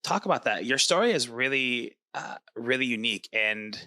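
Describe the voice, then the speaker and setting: A man's voice: medium pitch, lively intonation, moderate pace, bright, forceful tone. A man hosting a podcast, interviewing in an upbeat, informal and affirming conversational style. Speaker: podcast host.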